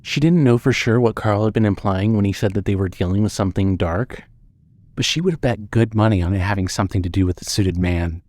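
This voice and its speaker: Low-voiced male audio drama narrator. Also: brisk, a little mellow, dry recording. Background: music under the voice.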